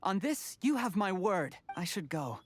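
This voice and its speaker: A man's voice: high voice, big pitch swings, a little slow, a little hiss or hum. An actor playing a polite, modest, diplomatic male official in a video game, courteous and formal. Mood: gracious, earnest.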